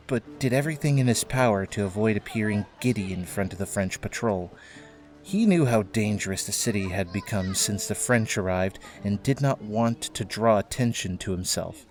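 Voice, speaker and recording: A man narrating a scripted audio drama as its storyteller. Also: on the low side. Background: background music.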